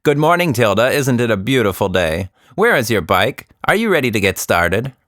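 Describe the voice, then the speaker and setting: A man's voice: medium pitch, very animated, moderate pace, a bit bright, dry recording, studio quality. A man voicing a cheerful, earnest monkey with proud musical leanings in a children's story. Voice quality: slightly out-of-tune singing.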